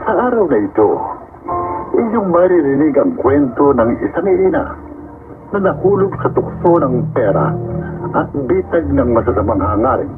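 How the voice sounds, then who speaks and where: A man's voice: medium pitch, very animated, moderate pace, long pauses, narrow, tinny audio. A man introducing a radio drama story as an announcer, in a dramatic, scripted, ominous style. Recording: thin radio band, music behind.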